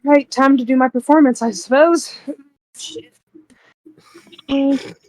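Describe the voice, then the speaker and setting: A woman's voice: sits high, unhurried, a little mellow, no room sound, low-quality recording. A woman chatting casually as a player in a tabletop roleplaying game.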